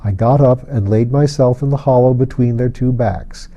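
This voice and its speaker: Very mellow-voiced male audiobook narrator. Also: medium pitch, moderate pace, dull audio. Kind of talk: scripted narration of a fantasy novel.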